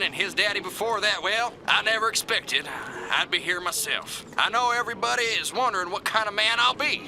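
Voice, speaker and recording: An actor in a radio play voicing a bumbling, self-important new boss with a folksy, halting manner. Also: male voice, high voice. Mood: pompous. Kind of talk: radio theater acting. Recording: noisy radio drama recording.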